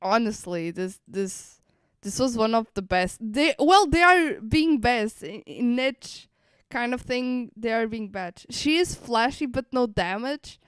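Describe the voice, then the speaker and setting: A woman's voice: a little high, animated, measured pace, hardly any pauses, clear, resonant tone, dry recording. A woman streamer giving casual live reaction commentary, rambling and repeating phrases as she thinks aloud. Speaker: streamer reacting to a game livestream.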